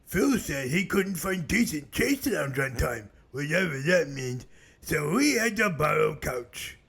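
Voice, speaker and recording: Gravelly, slow-talking cartoon brute. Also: male voice, on the high side, sing-song, a bit bright, exaggerated voice. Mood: blunt. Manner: simple broken grammar, stern. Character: slow-witted menacing brute who speaks of himself in third person. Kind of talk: comedic cartoon voice acting.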